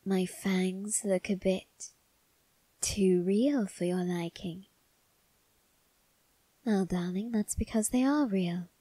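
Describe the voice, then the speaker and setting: Suave male vampire acted by a woman, ASMR roleplay, soft breathy half-whisper, close dry sound, coaxing, quietly menacing. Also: medium pitch, slow, long pauses, dry recording. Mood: seductive menace.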